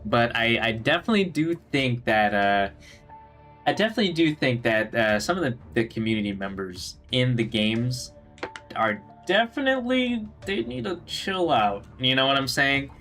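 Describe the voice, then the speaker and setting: A rambling man talks over his gameplay on a headset, stopping and restarting his sentences. His voice is slightly hoarse and swings freely up and down. Faint music plays underneath. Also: medium pitch, lively intonation, moderate pace, fairly bright tone. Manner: chatty, rambling, many restarts and fillers. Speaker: streamer. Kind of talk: casual gameplay stream chat. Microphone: gaming headset.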